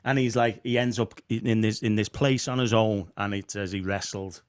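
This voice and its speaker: A man's voice: lowish voice, fairly even intonation, normal speed, clear, resonant tone. A man co-hosting a casual chat, conversational and playful, with frequent tag questions like "don't you?" and "right?". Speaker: podcast co-host.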